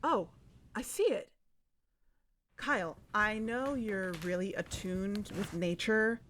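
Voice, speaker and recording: A woman's voice: medium pitch, slow, fairly bright tone, slight background noise. A woman playing a practical, level-headed podcast co-host in an audio drama, with a matter-of-fact manner. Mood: wary. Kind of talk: audio fiction podcast dialogue.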